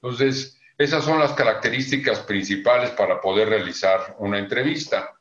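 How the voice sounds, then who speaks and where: Older man, Mexican Spanish accent, low voice, echoey room, measured explanations. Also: a bit bright. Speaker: convention manager. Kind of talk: interview on a video call. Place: home office. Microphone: video call. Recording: echoey room, dull lo-fi sound.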